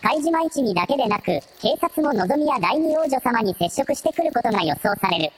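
A woman's voice: fairly high pitch, sing-song, a little fast. A woman voicing a terse analytical assistant who advises a team in formal, clipped, matter-of-fact phrases in a radio drama. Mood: analytical, flat.

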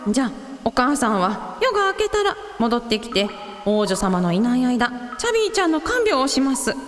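High, lively, practical mother played by a woman. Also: fairly quick. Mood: casual, motherly. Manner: casual, motherly, encouraging. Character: cheerful, practical mother of grown daughters. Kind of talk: radio drama dialogue. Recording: long reverb, music behind.

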